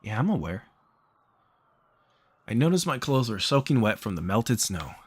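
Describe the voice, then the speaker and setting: A man's voice: lowish voice, very animated, normal speed, husky. A man reading a visual novel aloud in a casual way, acting out bits of dialogue as he narrates. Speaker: let's player reading game text aloud.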